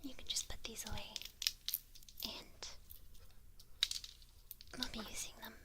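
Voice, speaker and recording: A woman playing a teasing witch who mentors an apprentice in a whispered ASMR roleplay, halting and gently patronizing. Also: on the high side, steady tone. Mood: hushed, casual. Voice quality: breathy whisper.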